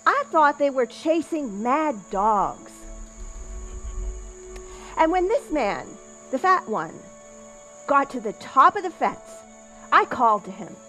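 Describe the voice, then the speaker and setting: A woman playing a doctor's wife of the 1910s, giving a deliberate eyewitness account as a scripted historical monologue. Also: high voice, sing-song, pushed and bright, soft background music, heavily acted. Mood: recounting. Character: doctor's wife recounting witnessing a robbers' chase in 1910s.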